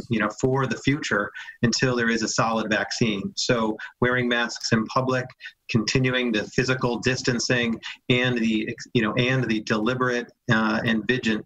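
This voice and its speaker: A man's voice: mid-range voice, a bit bright, slight background noise, poor audio. A man, a hospital medical officer answering questions carefully over a video call from home, with frequent fillers. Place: home room with framed art. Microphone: video call webcam.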